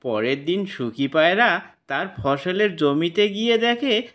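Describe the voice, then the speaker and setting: A narrator reading a scripted children's cartoon story in a deliberate storytelling manner. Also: mid-range voice, lively intonation, a bit bright.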